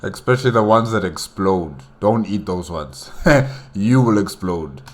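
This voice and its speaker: A man giving casual reaction commentary as a host, in a halting, repetitive, amused way. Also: low-pitched, expressive.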